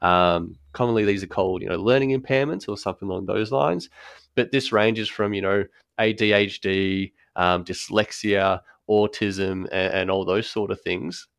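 A man explaining accessible design in a relaxed podcast conversation, thoughtful, with frequent ums and restarts. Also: fairly low pitch, moderate pace, creaky, smooth, no room sound, studio quality. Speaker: guest expert on accessible design.

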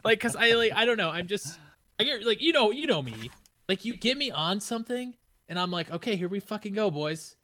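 A man from the Midwest hosting a casual podcast, with a nasal voice, rambling and excitable, rising high when he gets worked up. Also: brisk, slight background noise. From American Midwest. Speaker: podcast host.

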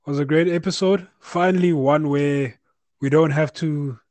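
A man co-hosting a casual MMA podcast over a remote call, relaxed and loose, repeating words and calling people "bro" and "man". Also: a little high, unhurried, no room sound. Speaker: podcast co-host.

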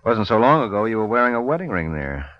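Wry defiant detective, lowish voice, wisecracks, dull old radio recording. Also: male voice, very animated, fast talker, no room sound. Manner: dry, wisecracking. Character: wry, defiant male detective hero, flirtatious and cocky. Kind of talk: old-time radio crime drama acting.

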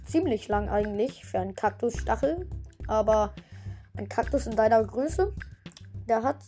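A woman's voice: fairly high pitch, lively intonation, normal speed, dark tone, faint music behind. A woman casually hosting her own podcast and interviewing a guest, chatty and often stumbling over her words. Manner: chatty, stumbling, many fillers and restarts. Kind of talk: casual home podcast chat.

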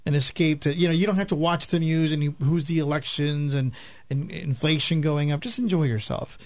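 A man's voice: a little high, fairly even intonation, brisk, a little mellow, no room sound. A man co-hosting a radio show, explaining things in a casual, conversational way. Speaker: radio show and podcast co-host.

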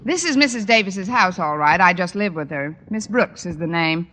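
A woman's voice: fairly low pitch, animated, a bit bright. A woman playing a wisecracking, sarcastic schoolteacher in a 1940s radio comedy. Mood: dry, sardonic. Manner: dry, sarcastic, quick with quips. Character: wry, wisecracking unmarried female schoolteacher. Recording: old radio recording, hall reverb, clear noise.

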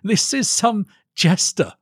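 A man acts out a haughty storybook baron, scornful and dismissive. The voice is raised well up in pitch and moves about a lot, with a slightly husky grain. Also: expressive, slow pace, no echo, studio quality, full character voice. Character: haughty, scornful male baron, master of artillery. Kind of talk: audiobook character voice.

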